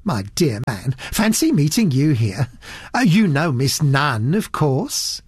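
A gloomy, drawling theatre producer, voiced by a male reader. His murmur sits well above the reader's own range and keeps a husky edge. The sound is close and dry. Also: on the high side, big pitch swings, takes it slowly, dry recording, exaggerated voice. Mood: melancholy.

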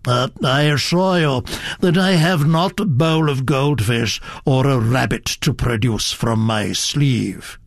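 A man voicing a tall, dark, enigmatic gentleman who speaks slowly, softly and with measured calm. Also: mid-range voice, dry recording, studio quality. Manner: slow, soft and measured.

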